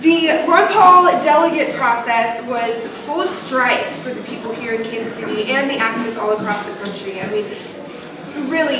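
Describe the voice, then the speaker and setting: A woman from Missouri speaks to an audience with a stuffy nose and a scratchy throat, her delivery loose and full of fillers. The recording is distant and echoey, caught from across a large room. Heavy noise hisses underneath. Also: fairly high pitch. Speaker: activist giving a talk. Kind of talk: public talk to a live audience. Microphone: distant microphone.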